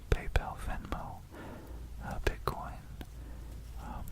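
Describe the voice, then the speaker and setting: This is a fully whispered voice with no real tone behind it, only breath. The speaker hosts ASMR narration in an informal, rambling way. The delivery is gentle and a little hesitant, suited to bedtime listening. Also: slow. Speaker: ASMR video creator and host.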